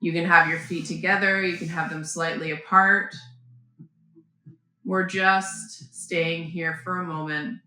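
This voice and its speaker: A woman yoga teacher giving gentle, unhurried guided breathing and posture instruction, with pauses between phrases. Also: on the low side, little pitch movement. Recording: very echoey room, faint background noise.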